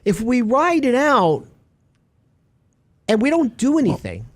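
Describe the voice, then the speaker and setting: Lively, animated man hosting radio talk. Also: extremely high pitch, a little slow, no room sound. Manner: animated storyteller, acts out little scenes. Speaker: radio show host. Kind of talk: casual talk-radio chat.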